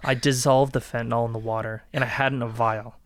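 A man acting a cold, gloating killer who calmly taunts his ex in a dark audio drama. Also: mid-range voice, close to an everyday voice. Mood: gloating, casual. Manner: casual, calmly taunting, unhurried menace.

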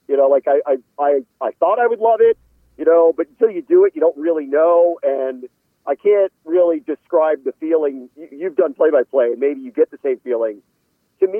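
A man's voice: on the high side, dry recording. A man speaking as a guest sports announcer in a casual radio interview, over a thin phone-like line.

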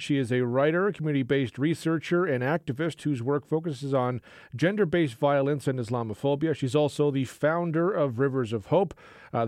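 A male talk radio host speaking into a studio microphone in an earnest, measured manner. His voice sits in a middle range and the sound is close and dry, with no room around it. He pauses often with small fillers as he sets up the topic. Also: mid-range voice, darkish tone, no echo.

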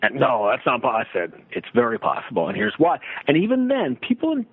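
A man talking sports casually as a broadcaster guest, telling stories and throwing out rhetorical questions in an animated way. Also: medium pitch, a little fast, breathy edge. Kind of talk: casual sports radio discussion. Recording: roomy, thin phone-like radio line.

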